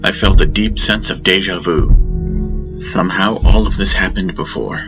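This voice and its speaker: A man reading scripted fantasy narration as an audiobook narrator, in a deliberate, theatrical way. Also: low voice. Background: music.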